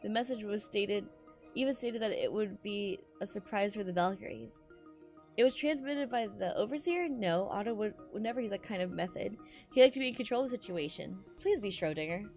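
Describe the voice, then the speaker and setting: A woman game streamer reading story text aloud and reacting live, excitable and prone to exclaiming and stumbling over words, with game music behind her. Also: mid-range voice, fairly quick.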